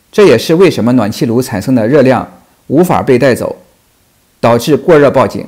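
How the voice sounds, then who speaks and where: A man's voice: mid-range voice, darkish tone. A man narrating a technical lesson on furnace repair in a plain, explanatory way. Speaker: HVAC technician. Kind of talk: instructional voice-over narration.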